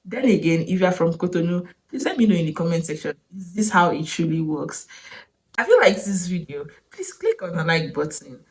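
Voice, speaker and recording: Chatty Nigerian woman vlogging, low-pitched. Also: very animated, brisk, a little mellow. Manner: chatty, wondering aloud, with short laughs. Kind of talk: casual travel vlog.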